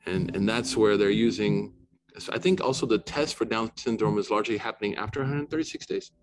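A man's voice: on the low side, a little fast. Man, middle-aged, informal lecture, conversational, frequent restarts. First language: not Arabic. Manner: conversational, many restarts and asides. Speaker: Islamic scholar lecturing.

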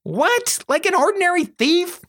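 A man voicing an excitable, bossy young schoolgirl with a breathless, demanding, dramatic manner in a storybook reading. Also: very high-pitched, a little slow, voice pushed up, heavily acted. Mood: let down, hopeful. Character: excitable, bossy young schoolgirl who loves adventure stories.

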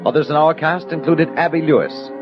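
A man's voice: fairly high pitch, a little fast. A man announcing scripted credits in the style of 1960s radio. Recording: old muffled radio broadcast, loud music.

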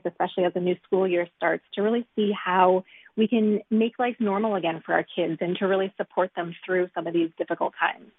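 A woman physician in her thirties explains patiently and earnestly, peppering her points with "you know." Her voice is a little husky and comes through a tinny phone line. The sound is dry, with no room around it. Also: mid-range voice, dry recording. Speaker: pediatric physician guest. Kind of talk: interview over the phone.

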